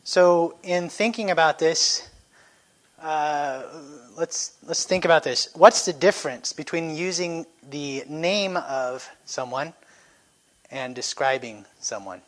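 A man's voice: fairly high pitch, slow, fairly bright tone. A middle-aged man teaching a Sunday school class into a microphone, talking conversationally with fillers and self-corrections. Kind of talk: church class lesson. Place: church classroom. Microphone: handheld or stand microphone.

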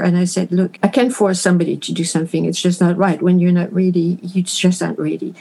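A woman's voice: fairly low pitch, gravelly, low-quality recording. A middle-aged woman from France, an author telling stories about her work in loose, enthusiastic interview answers. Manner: relaxed, enthusiastic, loose rambling sentences. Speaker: author and stylist.

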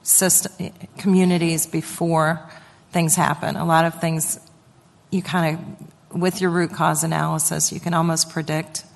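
Council member, woman, low monotone, slow and searching, echoing room. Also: vocal fry. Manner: slow, searching, thinking aloud. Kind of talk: remarks at a public meeting.

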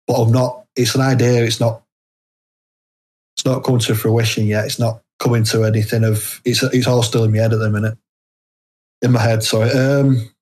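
A man's voice: medium pitch, darkish tone. A man from the UK with a British accent, speaking as an interview guest in a casual, hesitant, self-deprecating way. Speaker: guest, indie horror author.

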